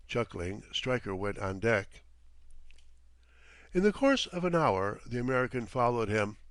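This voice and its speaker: A man narrating a literary audiobook in deliberate, dramatic phrases. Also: medium pitch, a bit bright, no room sound.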